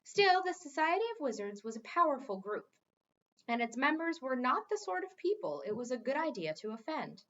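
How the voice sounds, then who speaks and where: Animated woman reading a story aloud. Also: high voice, moderate pace, lo-fi sound. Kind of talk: expressive storybook narration with informal asides.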